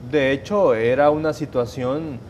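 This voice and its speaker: Salvadoran man giving measured testimony. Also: mid-range voice, a little mellow, lo-fi sound, slight background noise. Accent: Salvadoran Spanish. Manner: measured, hesitant, with restarts and fillers. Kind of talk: personal testimony in an interview.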